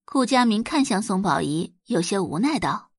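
A woman's voice: mid-range voice, expressive, moderate pace, dry recording, studio quality. A woman reading scripted dramatic narration as an audiobook narrator.

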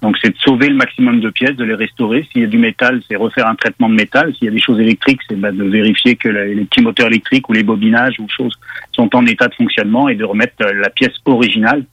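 Husky-voiced Parisian man, car expert. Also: fairly low pitch, steady tone, fast talker, a bit bright, dry recording, lo-fi sound. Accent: Parisian French. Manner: explanatory, many hesitations. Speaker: car magazine editor. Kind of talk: radio interview guest.

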